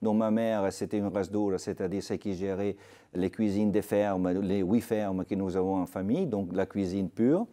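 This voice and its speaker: An older man from Italy with an Italian accent, a restaurateur telling stories in a TV interview, halting with many fillers. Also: low voice, fairly even intonation, dark tone. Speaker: restaurateur guest. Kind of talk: TV interview, anecdotal.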